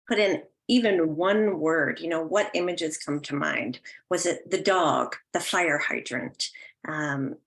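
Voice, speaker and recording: A woman's voice: sits low, vocal fry. A woman hosting a listening session, talking casually to participants. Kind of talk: announcing and casual event hosting.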